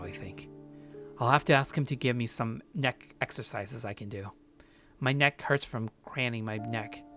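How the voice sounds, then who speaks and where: A man's voice: medium pitch, close to an everyday voice. A man playing a paranoid, sardonic young man narrating his journal in a visual novel, curt and brooding.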